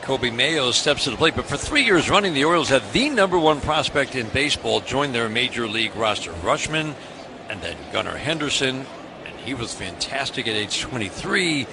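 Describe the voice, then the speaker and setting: A man's voice: mid-range voice, sing-song, moderate pace. A man with an American accent doing live radio play-by-play commentary of a baseball game. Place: stadium broadcast booth. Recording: clear outdoor ballpark ambience noise.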